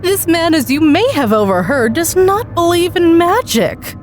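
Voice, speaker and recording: A woman voicing a sly male stage magician in a story, with theatrical, formal, drawn-out patter and menacing politeness. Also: extremely high pitch, big pitch swings, pitched above the performer's own voice, full character voice. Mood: smooth, menacing. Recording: music bed behind the voice.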